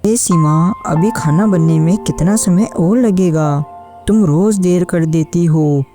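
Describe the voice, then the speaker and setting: A woman's voice: on the low side, slow pace. A woman voicing a hardworking, kind-hearted farmer husband in a cartoon story, speaking in an earnest, plaintive way. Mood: exasperated. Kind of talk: scripted cartoon story acting. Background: background music.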